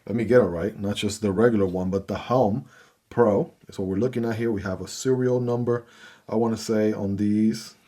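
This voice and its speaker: Low-voiced man chatting through an unboxing. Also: fairly even intonation, normal speed, dark tone. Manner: easygoing, chatty, filler-heavy. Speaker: gear reviewer. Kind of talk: casual unboxing review.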